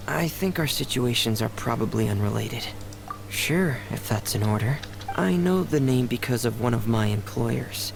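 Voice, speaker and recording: Shy teen boy for an anime game: hesitant, subdued, gravelly edge, noisy ambience behind. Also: mid-range voice. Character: shy, soft-spoken, reserved teenage boy diver.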